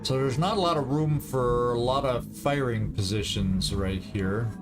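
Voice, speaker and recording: A man giving casual commentary on a strategy game, thinking aloud in a deliberate way as he plays. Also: medium pitch. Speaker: gaming video host. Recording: game music behind the voice. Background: video game music.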